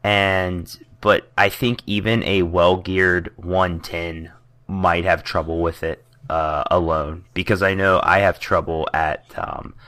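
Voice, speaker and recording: A man's voice: sits low, steady tone, takes it slowly, bright, forceful tone. A young man co-hosting a casual podcast, hesitant and full of ums, with dry jokes. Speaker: podcast co-host. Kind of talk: casual chat.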